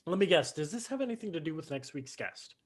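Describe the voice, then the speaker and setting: A man's voice: fairly high pitch, sing-song, quick pace, slightly rough. A man acting a brainy, sardonic young son with a dry, wry manner, in a staged play reading on a video call. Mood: dry, teasing.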